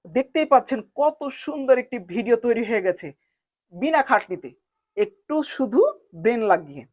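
A woman narrating a step-by-step software tutorial in an instructional manner, spelling out each step in repeated phrasing. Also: fairly high pitch, lively intonation, normal speed, dry recording, studio quality. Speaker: video editing tutorial host.

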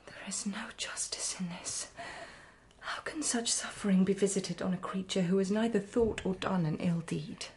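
An actress playing an anguished, estranged wife and mother in a period radio drama, with a hushed, breathy voice. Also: mid-range voice, normal speed, whispered, lightly acted. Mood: grieving.

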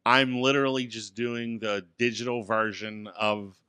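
A man in his forties co-hosting a casual tech podcast, telling a relaxed, self-deprecating story from a small studio with a boom mic. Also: moderate pace, smooth, no echo. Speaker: podcast co-host. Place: small home studio with acoustic panels. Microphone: studio mic on boom arm.

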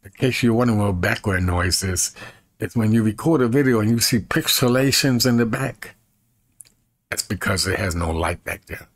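A man in his fifties with a husky voice, chatting casually to camera in a folksy way and repeating himself as he demonstrates. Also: on the low side, moderate pace, dry recording. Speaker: evangelist and video creator. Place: small bedroom, indoors.

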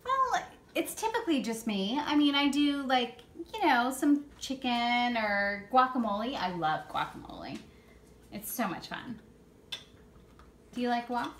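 A woman's voice: on the high side, animated, measured pace, a bit bright. A woman in her thirties chatting playfully in a home kitchen, filling her talk with "you know" and laughs. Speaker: cooking helper and mock guest. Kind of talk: playful casual kitchen chat. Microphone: camera on counter a few feet away. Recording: echoey kitchen with clatter.

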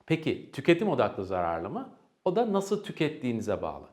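Man explaining with lively, varied intonation. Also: medium pitch, moderate pace. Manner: didactic, asks and answers own questions. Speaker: parenting educator presenting advice. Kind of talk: explanatory educational presentation to parents.

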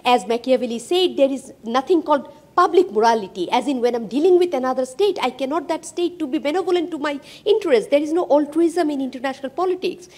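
A woman's voice: high-pitched, darkish tone. A middle-aged woman, a professor speaking on a roundtable panel, emphatic, with many fillers, into a handheld mic in a conference hall. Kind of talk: roundtable discussion.

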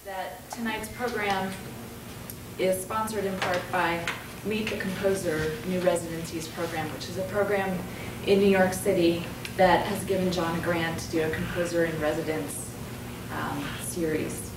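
A woman's voice: on the low side, steady tone, normal speed. A young woman introducing a guest from a podium, upbeat as she reads a list of credits. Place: hall with a podium. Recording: roomy distant hall recording with noise.

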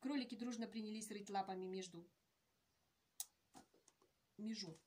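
Gentle woman reading a fairy tale aloud. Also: medium pitch. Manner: gentle, measured storytelling. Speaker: author reading her own tale. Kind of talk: children's story reading. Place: indoor room with painted canvases.

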